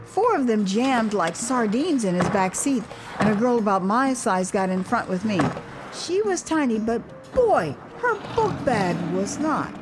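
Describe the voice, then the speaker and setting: Expressive American woman narrator, lively intonation. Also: a little high, unhurried, over loud music. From United States. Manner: wry, conversational storytelling. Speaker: author narrating her memoir. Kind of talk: expressive dramatised story narration. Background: street ambience and vehicle sound effects.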